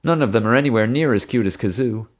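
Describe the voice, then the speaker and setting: A man voicing an earnest young woman zookeeper, raising his voice high for her in an audiobook reading. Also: fast, natural voice. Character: young woman zoo keeper, earnest and eager.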